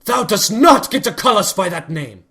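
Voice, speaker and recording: A man's voice: high voice, animated, normal speed, bright, forceful tone, voice pushed up, full character voice. A man voicing a regal, furious elder winged pony princess who speaks in archaic, commanding formal speech.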